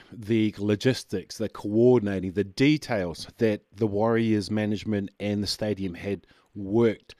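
A man's voice: fairly low pitch, a little mellow, dry recording, studio quality. Man hosting radio sports chat. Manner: steers the talk, brief prompts and topic setups. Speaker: radio host.

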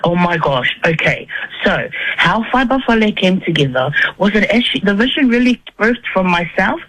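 A woman with a New Zealand accent, a tech founder as a radio interview guest, talking in a lively, playful way and laughing often. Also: mid-range voice, moderate pace, low-quality recording.

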